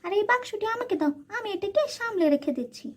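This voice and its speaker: A woman's voice: extremely high pitch, expressive, quick pace, pitched above the performer's own voice. A woman voicing a greedy, scheming aunt in a children's cartoon, with a gushing, wheedling manner. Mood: sly, dismissive. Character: scheming, greedy aunt, sugary then pleading.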